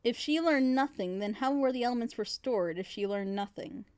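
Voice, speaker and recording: A woman with a somewhat high voice talks frankly and at length in a casual group discussion. She is opinionated and chatty. The sound is dry, with no room around her. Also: normal speed, darkish tone, no room sound. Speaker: reactor on a group reaction show. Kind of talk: casual group chat, giving critique.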